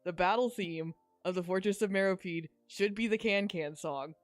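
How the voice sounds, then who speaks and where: A woman's voice: mid-range voice, moderate pace, no echo. Wry woman co-hosting a gaming podcast. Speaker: podcast co-host. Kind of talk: casual joking commentary over a video game. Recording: game music playing underneath.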